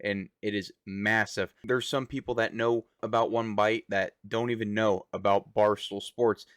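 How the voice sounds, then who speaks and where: A man's voice: on the low side, no room sound, clean studio sound. A man giving news reaction commentary as the host of a show.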